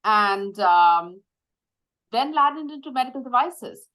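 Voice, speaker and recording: A woman in her fifties from India, a medical device executive, talking through her career in a measured, reflective way with frequent pauses and fillers. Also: a little high, expressive, fairly bright tone, rough recording. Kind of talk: conversational interview.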